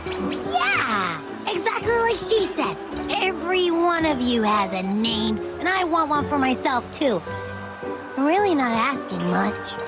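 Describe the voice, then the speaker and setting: Tiny childlike echo creature: very animated, unhurried, cutesy, cheeky, dramatic game character. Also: female voice, high voice. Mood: eager, demanding. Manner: dramatic, pleading, drawn-out words. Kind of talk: video game voice acting. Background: music.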